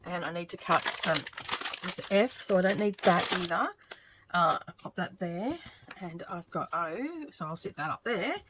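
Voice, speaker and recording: Middle-aged woman, lower voice, slightly croaky, rambling craft-vlog host with a faint noise floor. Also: expressive, moderate pace. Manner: chatty, thinking aloud with many ums. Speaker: quilting channel host. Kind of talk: casual craft vlog narration while working.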